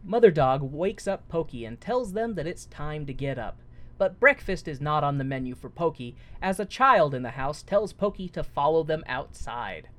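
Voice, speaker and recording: A man's voice: fairly high pitch, expressive, moderate pace, a bit bright. Joking man with a light, boyish voice. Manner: joking, swears freely, reads the story aloud with mocking asides. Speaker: podcast co-host. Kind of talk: casual comedic recap chat.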